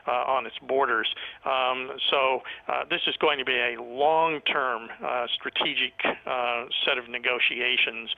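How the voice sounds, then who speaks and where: Halting male academic on a dull phone line. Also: medium pitch. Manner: measured, halting, very hesitant academic delivery with many pauses. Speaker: professor of international relations, expert guest. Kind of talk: live expert analysis by phone. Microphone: telephone.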